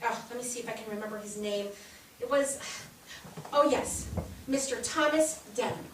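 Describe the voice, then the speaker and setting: An actress in a staged reading playing a bubbly, bossy 1860s society wife, gushing and insistent in a theatrical way. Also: female voice, a little high, moderate pace, close to an everyday voice. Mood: playful, insistent. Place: theatre stage, recorded from a distance. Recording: echoey stage, distant mic, faint noise.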